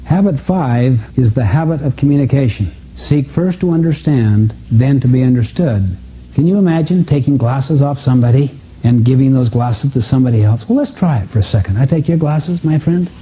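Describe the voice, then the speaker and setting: A man's voice: medium pitch, expressive, moderate pace, mellow, rough recording. A man giving a motivational seminar talk to a live audience, emphatic, with rhetorical questions and repetition. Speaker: seminar speaker.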